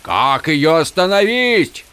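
A man's voice: a little high, very animated, takes it slowly, voice pushed up, heavily acted. A man voicing a desperate, defiant warrior prince in a fantasy audiobook, crying out to a god in impassioned demands and pleas. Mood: demanding. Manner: shouting, pleading, impassioned.

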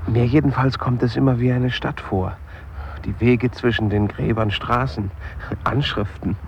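Male mourner actor for radio drama: inquisitive, conversational, gravelly low voice, dull sound. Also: fairly even intonation, measured pace, a little hiss or hum, lightly acted. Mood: pensive. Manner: questioning, conversational. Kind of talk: naturalistic radio play dialogue. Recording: muffled 1970s radio, bells behind.

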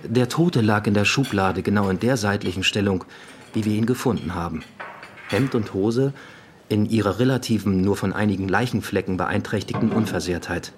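A man's voice: on the low side, moderate pace, slight background noise, natural voice. A man playing a courteous, methodical police detective assistant in a radio crime drama, speaking precisely and matter-of-factly. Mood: sober, considerate. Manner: precise, matter-of-fact, slightly formal wording. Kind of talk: German radio crime drama dialogue and narration.

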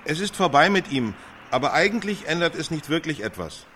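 Higher-voiced, grave witness. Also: male voice, sing-song, a little fast, pushed and bright, a little hiss or hum. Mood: subdued, grave. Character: subdued witness, a parent, giving interview after an execution. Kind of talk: rehearsed interview statement in radio drama.

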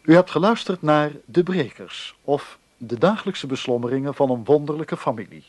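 A man's voice: fairly high pitch, expressive, moderate pace, darkish tone, faint music behind. A man reading a scripted closing announcement as a radio announcer.

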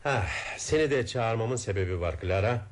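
A man playing a calm, shrewd investigator in a radio play, asking steady, probing questions. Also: on the low side, moderate pace, natural voice. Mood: questioning. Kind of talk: 1970s Turkish radio drama acting. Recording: old radio broadcast with music.

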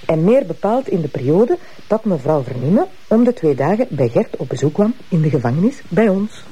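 A woman playing a blunt, teasing, sharp-tongued prosecutor married to a police inspector in a TV crime drama, with a Flemish accent. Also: lowish voice, very animated, moderate pace, husky. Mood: brisk, explaining. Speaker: actress in a TV crime series. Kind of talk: naturalistic TV drama acting.

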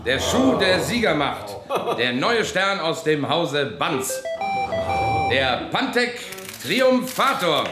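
An actor playing a bombastic product presenter making a grandiose, declamatory announcement in a radio drama. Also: male voice, fairly high pitch, big pitch swings, slow pace. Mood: triumphant. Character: bombastic product presenter unveiling a wonder shoe. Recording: very echoey hall, music behind.

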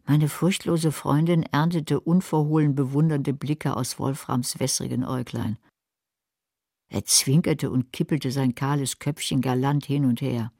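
Low, husky, bookish woman. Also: fairly even intonation, a little slow, warm tone, heavily acted. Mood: wry narration. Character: bookish, cautious woman librarian, sceptical narrator of crime story. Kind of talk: radio play acting and narration in German.

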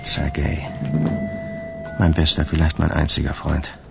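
A man acting in a radio drama as a wistful fugitive who confides in his diary in a gravelly, measured voice. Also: level tone, loud music behind the voice, heavily acted. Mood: resolute, reflective. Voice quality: deep, gravelly, creaky. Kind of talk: radio drama first-person narration.

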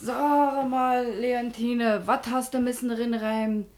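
Plain-spoken working mom from a radio play, bit high, kinda bossy and sly. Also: female voice, flat delivery, slow, a little mellow. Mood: scolding, matter-of-fact. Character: shrewd working-class mother, earthy and bossy. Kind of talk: naturalistic dialect radio play.

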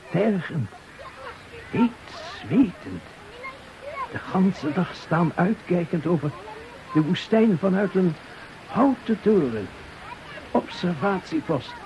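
An actor playing a weary war veteran in a poetic radio play, speaking in deliberate fragments. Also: male voice, high voice, very animated, heavily acted. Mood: brooding, sombre. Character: weary male war veteran recalling desert, sea and flight. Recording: noisy, dull radio play recording.

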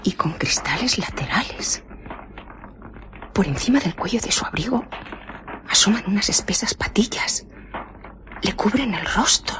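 An actress playing a nosy, chatty, easily flustered village innkeeper in a radio drama, muttering exclamatory asides to herself. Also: female voice, medium pitch, noisy background, natural voice.

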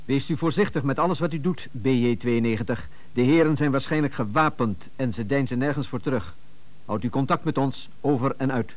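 Male actor, radio play dispatcher: middle pitch, formal, careful, courteous authority. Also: close to an everyday voice. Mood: calm, official. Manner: measured, courteous, official. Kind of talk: radio play acting as police dispatch talk.

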